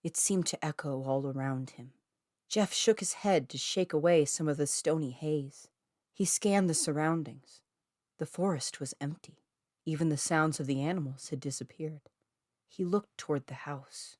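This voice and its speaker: A woman's voice: low-pitched, moderate pace, darkish tone, no room sound, clean studio sound. A woman reading her own eerie short story aloud as scripted narration.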